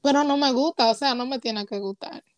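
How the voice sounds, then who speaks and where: Young woman, higher voice, casual hesitant guest, dry close mic. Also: brisk, no room sound. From Puerto Rico. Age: young adult. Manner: relaxed, hesitant, mixes English words into her Spanish. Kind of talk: casual conversation.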